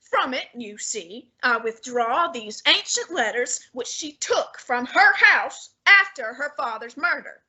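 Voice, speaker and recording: A woman plays a heavyset male detective genius who speaks in an unhurried, commanding way, talking down to everyone in the room. The voice sits high and bright for the part. Each word is placed with lordly patience. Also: expressive, slightly rough, exaggerated voice, rough recording. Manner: measured, commanding, condescending. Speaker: student actor. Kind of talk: student radio drama acting.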